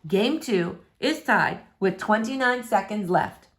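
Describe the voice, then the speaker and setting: A woman reading a verse novel aloud as an expressive storyteller. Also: mid-range voice, takes it slowly. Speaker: book reader.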